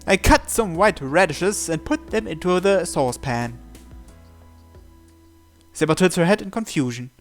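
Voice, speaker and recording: A man's voice: high voice, animated, unhurried, pauses often, faint music behind. A man reading visual novel narration aloud as he plays, with casual asides. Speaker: video game player reading along.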